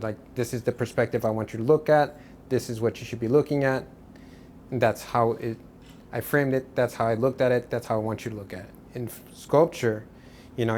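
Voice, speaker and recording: A man from Portugal, an artist guest, talking about his work in a relaxed, rambling way with many restarts. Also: mid-range voice, a little hiss or hum. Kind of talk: casual interview.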